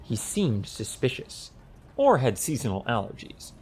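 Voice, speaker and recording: A man narrating a comic fantasy audiobook in an expressive storytelling style. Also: medium pitch, a little slow, a little hiss or hum.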